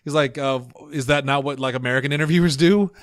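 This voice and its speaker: एक आदमी जो पॉडकास्ट का सह-होस्ट है, मज़ाकिया और व्यंग्य भरे अंदाज़ में बातें करता है और अक्सर हँसता है। रिकॉर्डिंग सूखी और पास के माइक से है, गूँज नहीं।. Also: male voice, fairly high pitch, fast talker, no echo, clean studio sound. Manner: joking, sarcastic, laughs often. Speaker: podcast co-host. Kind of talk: casual podcast chat.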